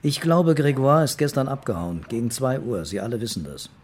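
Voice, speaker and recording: An actor playing a calm, persistent middle-aged police commissioner, grave and measured, in a radio play. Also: male voice, mid-range voice, a little hiss or hum, lightly acted. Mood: grave, insistent. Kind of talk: radio drama acting.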